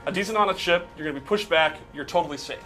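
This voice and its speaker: A man with a bright, higher-pitched voice explains game mechanics casually. He talks quickly, with music in the background. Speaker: game developer explaining character moves. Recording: music playing behind the voice.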